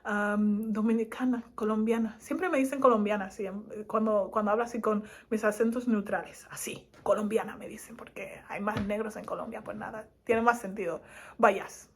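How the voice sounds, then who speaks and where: A young woman from Spain with a Madrid accent, talking casually to camera as a vlogger, animated and quick to laugh. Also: medium pitch, slight background noise. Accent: Madrid Spanish. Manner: animated and laughing, lively asides.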